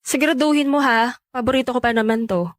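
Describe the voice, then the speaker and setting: Woman acting a high-pitched haughty older sister. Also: quick pace, dry recording, clean studio sound, close to an everyday voice. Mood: bossy. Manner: condescending, scornful. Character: haughty, cutting older sister, belittling and bossy. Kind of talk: radio drama acting.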